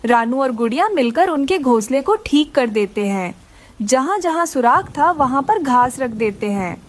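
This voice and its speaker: A woman's voice: sits high, lively intonation, moderate pace, a little hiss or hum. A woman narrating a scripted children's story.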